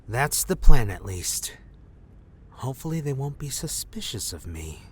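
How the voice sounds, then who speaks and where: A man acts a sardonic undercover agent in a crime audio drama. His mid-range voice is measured and dry, with faint ambient noise behind it. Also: mid-range voice, big pitch swings. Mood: dry, guarded. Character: dry-witted male undercover government agent, former street punk.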